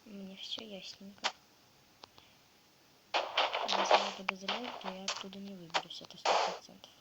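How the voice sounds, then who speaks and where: A woman with a sore throat, slightly ill, quietly muttering a casual commentary on the game she is playing. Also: mid-range voice, little pitch movement, moderate pace. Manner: quiet, half-whispered muttering to herself. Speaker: gamer narrating her play. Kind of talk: casual let's-play commentary. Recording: loud background noise.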